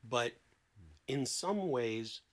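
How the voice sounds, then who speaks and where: A man speaking conversationally as a therapist on a podcast panel, blunt and emphatic, with deliberate pauses and rhetorical questions. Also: medium pitch, animated. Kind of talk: conversational podcast talk with acted-out client dialogue.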